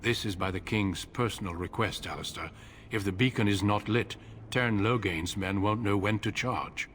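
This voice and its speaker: A man playing a grave, low-voiced warrior leader. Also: fairly bright tone, a little hiss or hum, close to an everyday voice. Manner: grave, measured, formal. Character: older male warrior leader, calm and grave. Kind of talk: video game voice acting.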